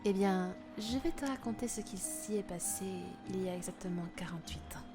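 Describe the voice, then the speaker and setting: A woman playing a gentle mother who narrates a spooky bedtime tale in a measured, soothing way. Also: medium pitch, natural voice. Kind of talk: scripted bedtime-story narration, audio drama. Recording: music behind the voice.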